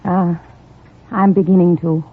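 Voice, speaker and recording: An actress playing a worldly Parisian nightclub singer, guarded and coolly charming, in a 1950s radio drama. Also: female voice, lowish voice, steady tone, a little slow, slight background noise, natural voice. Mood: hesitant, guarded. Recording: tinny 1950 radio recording, music behind.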